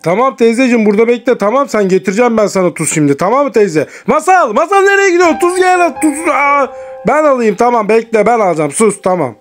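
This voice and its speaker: A man's voice: very high voice, big pitch swings, fast, soft background music. A man in his thirties play-acting as a father in an improvised children's skit, urgently instructing a child. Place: indoors in a home.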